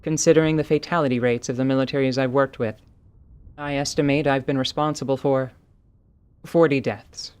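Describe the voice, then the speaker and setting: A man voicing a battle-worn, winged fantasy mercenary in an audio drama, stoic and wry, speaking in a dry, formal, deliberate way. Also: medium pitch, fairly even intonation, clear, resonant tone, lightly acted. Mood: stoic, explanatory.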